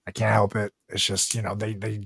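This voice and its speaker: A man in a casual comedic podcast chat, telling stories in a profane, animated way. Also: on the low side, brisk, creaky, slightly rough, dry recording, studio quality. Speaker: podcast host.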